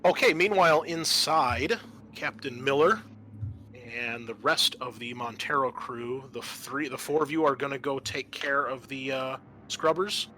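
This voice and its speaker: A man hosting a tabletop roleplaying game as game master, narrating off the cuff and pausing to think aloud. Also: mid-range voice, sharp tone, a little hiss or hum.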